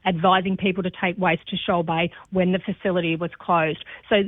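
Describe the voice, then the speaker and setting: A woman speaking as a council spokesperson in a radio interview, explaining in measured official phrasing and correcting herself as she goes. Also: fairly low pitch, little pitch movement, no echo. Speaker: city council operational spokesperson. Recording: narrow tinny phone line.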